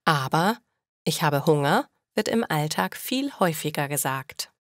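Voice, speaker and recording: A woman narrating a beginner language lesson, speaking slowly and clearly for learners. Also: low voice, animated, dry recording, studio quality. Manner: slow and clearly articulated for learners. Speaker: language teacher. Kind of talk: slow scripted lesson narration.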